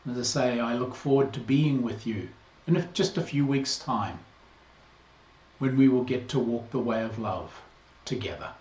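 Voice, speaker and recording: Male, 60s, New Zealand accent, parish priest; measured, earnest welcome; mid pitch, roomy slightly muffled recording. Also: darkish tone. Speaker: priest, incoming interim rector.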